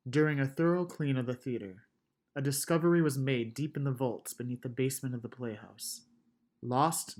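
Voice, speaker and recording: A man's voice: medium pitch, natural voice. A man giving a formal, scripted introduction as an announcer.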